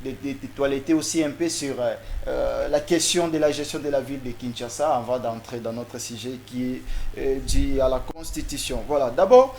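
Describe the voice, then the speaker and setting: A man's voice: mid-range voice, moderate pace, few pauses, a little mellow, a little hiss or hum. A man with a Congolese French accent hosting a talk show, formal in address but often hesitating and repeating words. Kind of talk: presenting and interviewing on a talk show.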